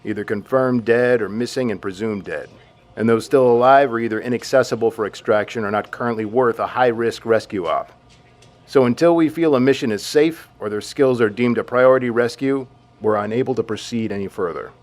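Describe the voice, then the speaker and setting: A man's voice: medium pitch, brisk, close to an everyday voice. A man playing a formal, pragmatic army general in an audio drama, speaking with clipped authority. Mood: firm.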